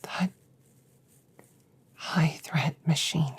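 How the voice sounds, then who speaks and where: Woman voicing female android sharpshooter, hushed whispering, extremely breathy, measured, formal, protective, remorseful, soothing roleplay audio. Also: sits low, full character voice. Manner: slow, measured, formal, reassuring phrasing. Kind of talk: scripted roleplay audio drama for relaxation.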